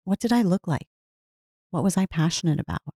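A woman speaking in an earnest, heartfelt way, reflecting slowly on her own life. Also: fairly low pitch, animated, warm tone, slightly rough, dry recording, clean studio sound. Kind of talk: reflective personal talk.

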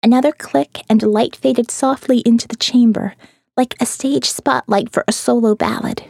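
High-pitched woman narrating, dry close sound. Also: steady tone, moderate pace, vocal fry, a little mellow, dry recording. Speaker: audiobook narrator. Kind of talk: dramatic first-person scripted narration.

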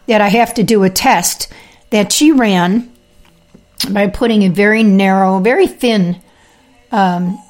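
A woman hosting her own podcast, talking casually with fillers and throat clearing, telling her own experience. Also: mid-range voice, a little mellow. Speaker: podcast host; health practitioner with patients. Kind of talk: casual monologue.

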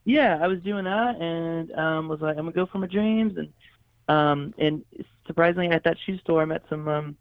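A man's voice: on the high side, moderate pace, clean tone, dry recording. A man who grew up in Florida, a songwriter and producer, chatting casually as an interview guest and rambling with many restarts and easy laughs. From Florida, USA. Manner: rambling, self-correcting, laughs easily. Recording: dry, phone-like line.

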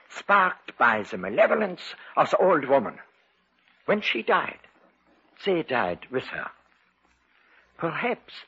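An actor playing a grave, commanding elderly occult expert in an old radio horror play, speaking in a solemn, dramatic manner. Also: male voice, a little high, pushed and bright, slightly rough, full character voice. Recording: dull vintage radio broadcast, music behind.

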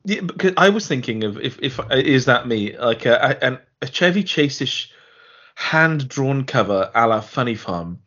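A man co-hosting a podcast, chatting casually and reading out a listener email with a dry, deadpan delivery. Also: mid-range voice, moderate pace. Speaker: podcast co-host. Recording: dull lo-fi podcast audio.

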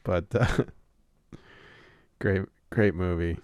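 A man with a lowish voice hosts a loose, casual movie chat, laughing often and swearing freely as he retells scenes. The recording is dry and close, with no room sound around him. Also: steady tone, drawn-out words, darkish tone, clean tone, no room sound. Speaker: podcast host.

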